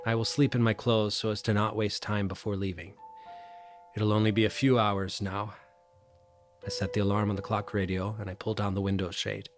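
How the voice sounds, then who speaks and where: Troubled man, haunted and deliberate, lower register, first-person audio drama male actor, faint score behind. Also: little pitch movement, faint music behind, lightly acted. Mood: somber, resigned. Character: troubled, haunted man fleeing dread on island holiday.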